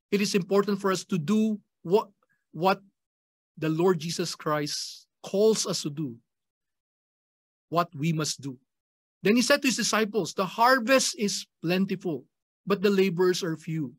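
A man's voice: sits high, no echo. Filipino man preaching in a dry room. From Philippines. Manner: earnest, emphatic repetition of key phrases. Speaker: pastor. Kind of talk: chapel sermon. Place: indoor chapel at a lectern. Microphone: stand mic in front of the mouth.

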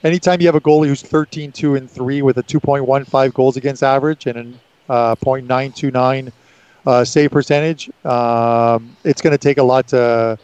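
A man in his seventies with a headset, talking hockey bets in a casual chat, pausing often with fillers. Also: medium pitch, fairly even intonation, a little mellow, smooth, no room sound, dull audio. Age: 70s. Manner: hesitant, many fillers, chuckling. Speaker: co-host. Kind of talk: casual sports betting chat.

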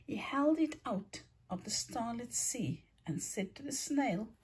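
A woman reading a rhyming children's picture book aloud in a theatrical, deliberate way, stretching words and hushing the ends of lines. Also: a little high, animated. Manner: theatrical, deliberate storytelling with drawn-out words and breathy hushed line endings. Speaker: storybook reader, teacher.

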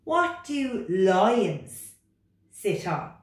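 A woman's voice: mid-range voice, very animated, very slow, voice pushed up. A woman voicing a reluctant, curious little picture-book frog with a pleading, questioning manner. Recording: roomy, distant microphone.

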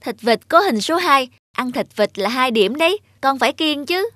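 A woman's voice: high voice, expressive, moderate pace, a bit bright, no echo. A woman playing a fussy, stubborn student daughter in a cartoon, whiny and dramatic. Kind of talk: Vietnamese cartoon dialogue.

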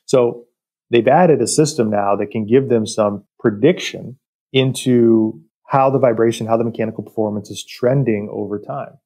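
A man speaking as an expert podcast guest, explaining industrial work in a measured, technical way. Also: medium pitch, dark tone. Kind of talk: explanatory interview talk about industry.

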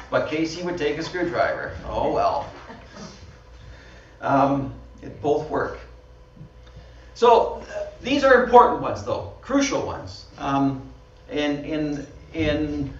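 A man with a Canadian accent, a pastor giving a conversational sermon with slides, laughing at himself often. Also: a little high. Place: small church hall. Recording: dull, roomy sound from far off.

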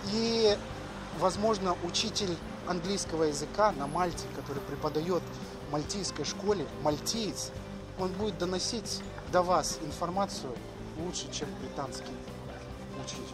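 A man's voice: high-pitched, moderate pace. A middle-aged man talking casually as the host of a walking vlog, recorded outdoors on city streets. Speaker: education agency host. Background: music, street ambience.

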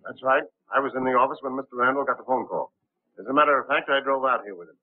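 An authoritative police captain acted by a man in a 1930s film. He talks fast and briskly through a narrow, tinny vintage soundtrack. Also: mid-range voice, lightly acted. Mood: brisk, commanding.

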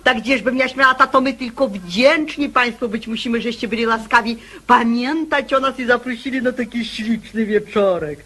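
A woman's voice: fairly high pitch, moderate pace, few pauses, fairly bright tone, slightly rough. A woman playing a gushing, rambling married mother in a radio comedy, gossipy and falsely humble. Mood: gushing, plaintive. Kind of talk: theatrical radio comedy acting.

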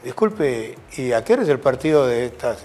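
A man's voice: medium pitch, sing-song, moderate pace, a little mellow. An older man with a Peruvian Spanish accent, a former football coach telling rambling anecdotes in an interview, often ending with a questioning "right?". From Peru. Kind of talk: storytelling interview.

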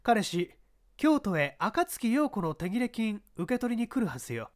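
Sharp, pushy female scout acted by a woman narrator, needling and calculating, very expressive intonation, radio story. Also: medium pitch, a little slow. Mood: pressing, scornful. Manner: insistent, needling, feminine old-fashioned phrasing.

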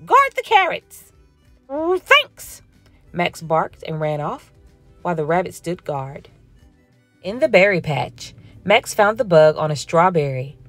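A woman slowly reading a children's picture book aloud in a gentle storytelling manner. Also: low voice, animated, soft background music. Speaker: storybook reader. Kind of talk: children's read-aloud narration.

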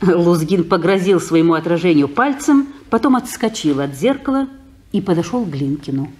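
A woman narrating a radio drama's action in a crisp, matter-of-fact way. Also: on the low side, expressive, moderate pace, darkish tone.

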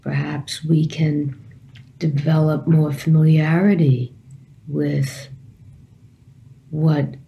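Slow, mellow woman guiding meditation. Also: deep voice, gravelly, low-quality recording. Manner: calm, gentle instruction in short phrases with long pauses. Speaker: meditation teacher. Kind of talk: guided meditation.

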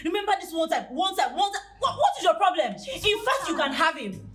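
A fed-up nigerian girlfriend, scolding, high husky voice, really animated, drama style. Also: normal speed. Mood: furious. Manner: insistent, scolding, repetitive. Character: jealous, indignant young girlfriend, short-tempered and demanding. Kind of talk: naturalistic screen drama acting.

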